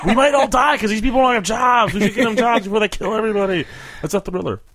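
A man's voice: high-pitched, quick pace, fairly bright tone, dry recording. An American man hosting a casual podcast interview, with a wry, joking, teasing way of asking questions.

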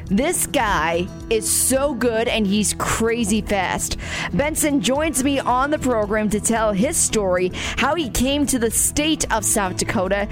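Host: woman, slightly high voice, upbeat studio presenter, music bed behind. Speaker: program host. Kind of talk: interview hosting and scripted intro.

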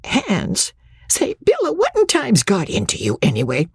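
A woman's voice: medium pitch, wildly swooping pitch, normal speed, creaky, breathy edge, no echo, voice pushed up, heavily acted. A woman voicing a mild, bewildered plain country husband. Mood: puzzled.

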